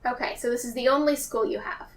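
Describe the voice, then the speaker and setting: A woman's voice: on the high side, fast talker, a little hiss or hum. A woman talking casually as a player in a tabletop roleplaying game. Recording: slightly distant mic.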